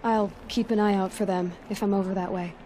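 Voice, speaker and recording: An actress playing a bold young hunter in a video game cutscene, direct and self-assured with a dry edge. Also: female voice, medium pitch, steady tone, brisk, warm tone, slight background noise, natural voice. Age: young adult. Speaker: game protagonist.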